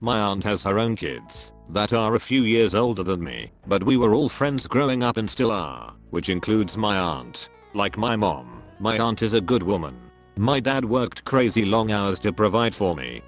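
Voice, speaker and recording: Low synthetic male reading voice. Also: very animated, normal speed, soft background music. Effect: text-to-speech voice. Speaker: narrator reading posts. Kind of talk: reading forum stories aloud.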